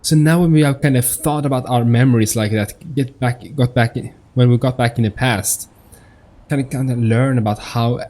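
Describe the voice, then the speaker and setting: A man's voice: mid-range voice, fairly even intonation, normal speed, warm tone. A man giving casual game commentary as a YouTuber, thinking aloud in a loose, repetitive way. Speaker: gaming YouTuber. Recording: faint background noise with game sound.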